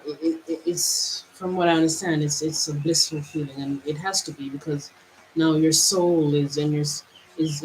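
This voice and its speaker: A woman from Jamaica, a spiritual vlogger, musing aloud to her livestream viewers in halting phrases, with many restarts and drawn-out words. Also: sits low, darkish tone, a little hiss or hum, rough recording. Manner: halting, with frequent restarts and stretched, slowed phrases. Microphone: camera mic.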